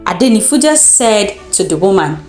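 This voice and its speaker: A woman with a Nigerian accent narrates a folktale as a storyteller, in a deliberate, dramatic and expressive manner. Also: medium pitch. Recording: roomy echo with music underneath. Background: music.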